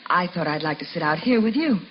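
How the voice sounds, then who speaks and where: An actress playing a sweet wife who is secretly shrewd, in 1950s radio drama style. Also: female voice, lowish voice, expressive, fast, natural voice. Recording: muffled, hissy vintage radio recording.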